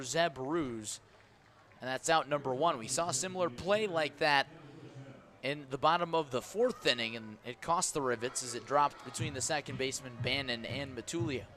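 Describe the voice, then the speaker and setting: Guy doing radio baseball play by play, kinda high voice, brisk, faint ballpark hum behind. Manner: brisk, steady calling of each pitch. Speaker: play-by-play announcer.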